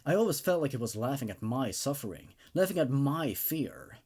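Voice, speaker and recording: A man who hosts horror story readings, narrating dramatically and commenting casually in an expressive, emphatic way. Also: medium pitch, moderate pace, breathy edge. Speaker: host reading and reviewing horror stories.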